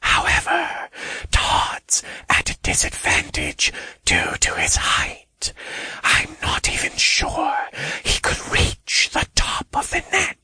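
A man playing an ominous, composed demon king in an audiobook, in a hushed, breathy rasp. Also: very high-pitched, no echo, voice pushed up, full character voice.

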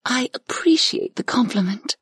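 A woman voicing a gentle, reassuring goddess healer in a fantasy audiobook, soothing and polite. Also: on the high side, lively intonation, moderate pace, breathy edge, dry recording, studio quality. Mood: caring. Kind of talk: audiobook character dialogue.

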